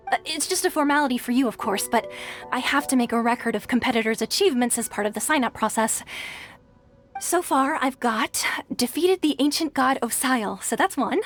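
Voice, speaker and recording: High-voiced nervous sign-up clerk, polite and stammery. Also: female voice. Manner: polite, hesitant, easily excited. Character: flustered, starstruck female tournament registrar. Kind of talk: video game voice acting.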